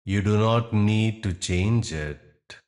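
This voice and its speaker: Male meditation guide: deep register, unhurried delivery, soothing and deliberate, with long pauses between phrases. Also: darkish tone.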